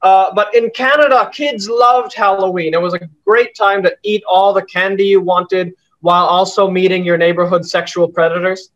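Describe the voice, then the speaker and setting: A young man from Bangladesh doing a deadpan stand-up set over a video call, with dry delivery and frequent fillers. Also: high-pitched, moderate pace, hardly any pauses. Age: young adult. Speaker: stand-up comic. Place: small room indoors at home. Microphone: laptop webcam on a video call. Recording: muffled video-call audio.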